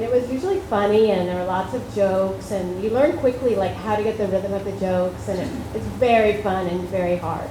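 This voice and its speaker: A middle-aged actress telling stories on a convention panel in a chatty, laughing way. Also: female voice, mid-range voice. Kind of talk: anecdotal panel talk. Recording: echoey hall with noisy house audio.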